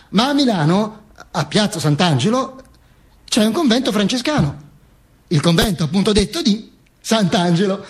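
A male stage actor playing a dead dictator who tells his own posthumous story in an ironic, emphatic theatrical monologue. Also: high voice. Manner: emphatic, obsessively repetitive, deliberate pauses.

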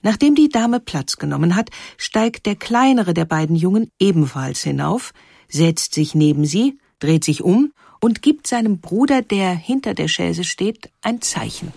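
A woman narrating a scripted historical story in a measured, articulate way. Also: lowish voice, expressive, creaky, a little mellow, slightly rough, no room sound, studio quality. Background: music under the voice.

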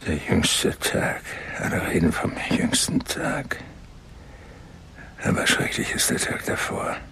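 A man's voice: sits low, sing-song, takes it slowly, vocal fry, bright, forceful tone, a little hiss or hum, full character voice. An actor playing a brooding man who awaits judgment day, speaking in grim half-whispers. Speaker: radio play actor. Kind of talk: radio drama acting. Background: music.

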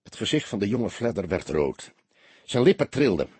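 A man's voice: fairly low pitch, very animated, moderate pace, darkish tone, breathy edge, no echo. A man reading a detective novel as a dramatic audiobook narrator.

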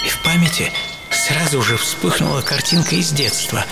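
A man playing a middle-aged, introspective psychiatrist in a dramatised first-person radio monologue, theatrical and reflective, with deliberate pauses. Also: a little high, vocal fry. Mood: wistful, excited. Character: middle-aged male Swiss psychiatrist recalling a troubling dream, introspective. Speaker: author and presenter of a radio programme. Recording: radio production over loud music.

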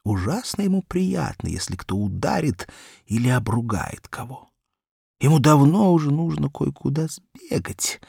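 A man narrating a children's story as an audiobook, reading expressively in deliberate, measured phrases. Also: medium pitch, vocal fry, mellow, breathy edge, dry recording, studio quality.

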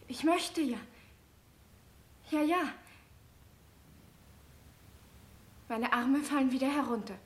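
A woman's voice: high voice, unhurried, slight background noise. An actress playing a loving, anxious young queen, earnest and emotional, in a 1960s German radio play. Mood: eager devotion. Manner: soft, earnest, emotional pleading.

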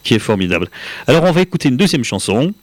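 A man's voice: mid-range voice, big pitch swings, fast, no room sound. A man hosting a casual radio interview, hesitating, repeating himself and often chiming in to agree.

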